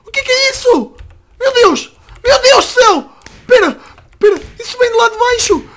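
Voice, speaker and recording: A woman acts a frightened young boy in an amateur drama. The voice is very high-pitched and sharp, anxious and pleading, crying out and repeating words in desperate devotion to a mother. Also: moderate pace. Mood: panicked.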